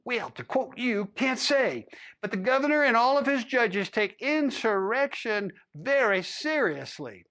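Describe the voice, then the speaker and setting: A man's voice: extremely high pitch, lively intonation, unhurried, pushed and bright, pitched above the performer's own voice, heavily acted. A man voicing a fussy, prying male town official who questions people indignantly.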